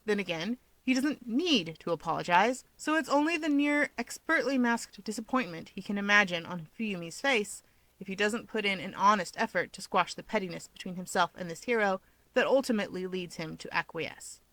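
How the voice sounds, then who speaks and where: A woman's voice: mid-range voice, expressive, moderate pace, fairly bright tone, dry recording, rough recording. A woman reading scripted fan fiction narration as an audiobook narrator.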